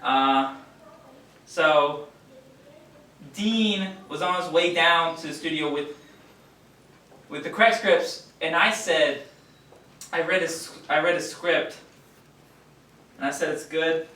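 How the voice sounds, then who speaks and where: Actor as a bright-voiced, placating male office underling. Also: on the high side. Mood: nervous, evasive. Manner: halting, earnest, placating. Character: placating, fibbing male radio station underling. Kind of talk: live stage play acting. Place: indoor theater stage, picked up from afar. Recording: echoey stage, distant mic, faint noise.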